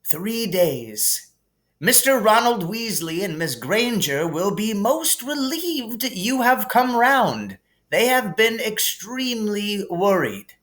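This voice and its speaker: A man voicing a kindly, whimsical elderly wizard headmaster in a storybook reading, with calm, gently formal phrasing. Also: sits high, slow pace, voice pushed up, full character voice. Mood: amused. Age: older. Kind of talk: audiobook character reading.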